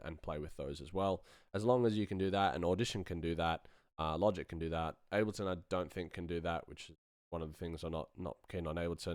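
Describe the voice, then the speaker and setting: A man giving an informal, self-deprecating tutorial on sound design software in casual, slangy language. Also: low-pitched, clear, resonant tone, no echo, clean studio sound. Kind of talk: casual live-streamed software demo.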